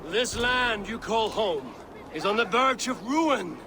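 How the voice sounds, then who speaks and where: Casting: grim Viking war leader, commanding, slightly high male register, game drama, busy outdoor noise. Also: lively intonation, slow. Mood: rousing oratory. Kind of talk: dramatic video game acting.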